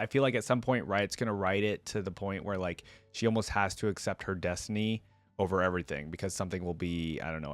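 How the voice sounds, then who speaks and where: Low-voiced man doing casual reaction commentary. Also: no room sound. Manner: informal, chatty. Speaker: video creator reacting to game voice lines.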